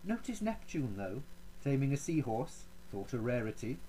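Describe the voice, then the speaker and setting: A man performs as a proud Renaissance duke in a dramatic poetry recitation. His pitch moves widely through haughty, courtly phrases broken by pointed pauses, with cold menace beneath the politeness. There is faint noise behind the voice. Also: very animated, warm tone, natural voice, rough recording. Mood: courteous, smooth.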